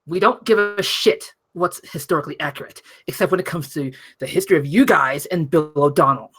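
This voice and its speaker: A woman's voice: deep voice, fairly quick, a bit bright, husky. A woman playing an impatient, foul-mouthed detective in a comedy table read, brusque and exasperated.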